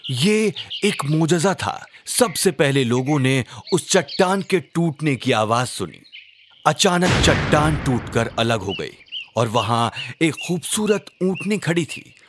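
A man narrating a scripted children's religious story in a measured, dramatic storyteller delivery. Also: fairly high pitch, lively intonation, noisy background.

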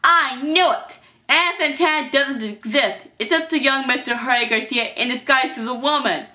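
A woman's voice: mid-range voice, expressive, normal speed, sharp tone. A woman voicing a vain, bossy schoolgirl villain in a story. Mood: outraged.

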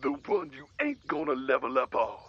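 A man's voice: mid-range voice, animated, moderate pace, breathy edge, muffled recording. A man playing a smug, sleazy, jive-talking zombie villain in a video game cutscene, taunting his foe.

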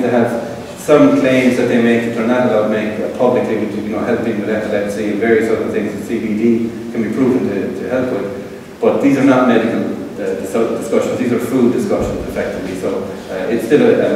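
Middle-aged Irish man, relaxed presenter with fillers; mellow tone, long hall reverb. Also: medium pitch. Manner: relaxed, conversational, frequent fillers. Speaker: tech presenter. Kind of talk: conference talk with live demo. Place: event hall with seated audience. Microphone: room pickup from far camera. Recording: roomy hall echo from far off.

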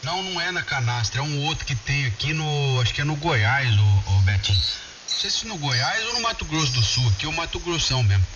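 A man's voice: fairly high pitch, very animated, a little fast. A Brazilian man in a casual, colloquial radio chat with friends, heard through a shortwave ham radio transmission. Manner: colloquial, addresses friends by name. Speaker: amateur radio operator in a group contact. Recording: muffled, hissy, very noisy shortwave radio.

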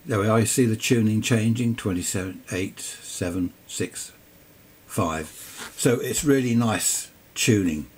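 A man's voice: sits low, a little hiss or hum. An older man with a southern English accent, chatting casually through an unscripted demonstration, rambling with little asides and questions to himself. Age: older, 60s or 70s. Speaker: amateur radio hobbyist presenting a video. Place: cluttered indoor home radio workshop.

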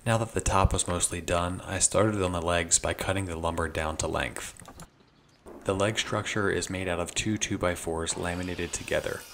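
A man's voice: deep voice, steady tone, moderate pace, a bit bright, a little hiss or hum. A young man narrating his woodworking project in a plain, matter-of-fact voice-over, step by step. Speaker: DIY woodworking channel host.

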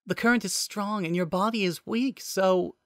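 A man voicing a gentle, polite female AI assistant robot in a story reading, soft-spoken and courteous. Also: high voice, normal speed, no room sound, clean studio sound, voice pushed up. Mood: gentle, apologetic.

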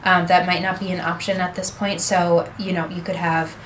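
A young woman leads a workshop in a small conference room, her voice low and fairly flat in pitch. She explains at a steady pace while gesturing with her hands. A faint hiss sits under the camera sound. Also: normal speed, rough recording. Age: young adult. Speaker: workshop presenter. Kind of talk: seminar talk.

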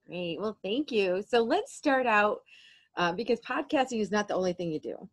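A woman's voice: mid-range voice, animated, moderate pace, no echo, studio quality. A woman hosting a podcast interview, introducing her guest and asking questions in a friendly, eager way. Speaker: podcast host. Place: indoors, home room.

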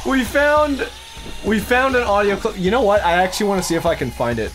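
A young adult co-hosts a let's play with casual, chatty commentary. The pitch moves around a lot and video game music plays clearly underneath. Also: lively intonation, a little fast.

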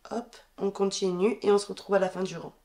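A woman's voice: mid-range voice, fairly quick. Woman with a head cold, counting stitches. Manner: calm, counting stitches aloud while working. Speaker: crochet tutorial host. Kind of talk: step-by-step crochet instruction. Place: indoors at a wooden table.

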